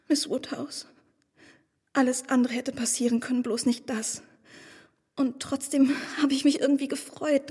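An actress playing a timid, excitable young woman in a radio play, telling a story in a breathless, flustered way. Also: high voice. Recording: radio play with long reverb.

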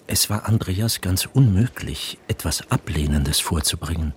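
A man narrating a literary radio drama from a script in formal, measured storytelling sentences. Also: deep voice, animated, a little hiss or hum. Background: music.

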